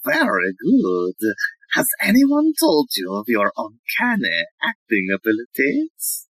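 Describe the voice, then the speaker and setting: A woman's voice: extremely low pitch, wildly swooping pitch, slow pace, a bit bright, husky, no room sound, exaggerated voice, low-quality recording. A woman playing an eccentric, self-assured male police inspector in a comic audio play, speaking in a theatrical, earnest way. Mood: earnest, solemn. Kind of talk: audio play comedy acting.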